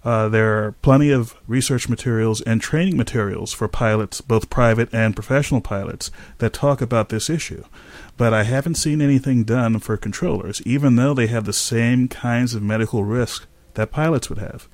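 Measured man, dry close sound. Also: mid-range voice, darkish tone, no echo. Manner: measured, explanatory. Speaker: aviation safety expert and podcast host. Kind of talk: scripted narration and expert interview answers.

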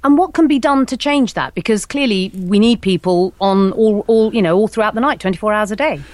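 A woman's voice: medium pitch, a little fast, darkish tone, no echo. A woman presenting radio news, with a probing, conversational way of interviewing.

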